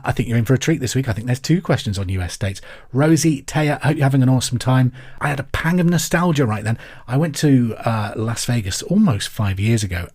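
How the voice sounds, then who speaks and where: A man's voice: medium pitch, a little mellow. An English man hosting a quiz podcast, chatting in an upbeat, friendly, conversational way as he presents and reads out messages. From England. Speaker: podcast host and quizmaster.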